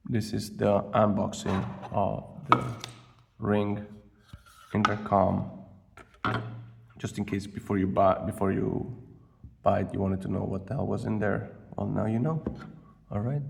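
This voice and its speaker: A man giving casual, unscripted unboxing commentary as a vlogger, thinking aloud in a halting way with a gravelly voice. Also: fairly low pitch. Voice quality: gravelly, creaky. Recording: distant mic, faint background noise.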